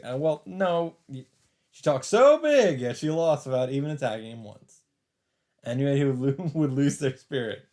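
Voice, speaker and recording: A man's voice: mid-range voice, a little mellow. A man doing a let's play, reading visual novel narration aloud with casual, sometimes sweary commentary. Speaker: let's player.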